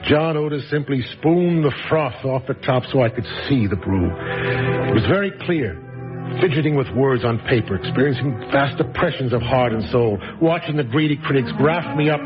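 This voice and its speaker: A man's voice: mid-range voice, lo-fi sound, natural voice. An actor playing a wry, reflective former novelist in a radio drama, musing over his past.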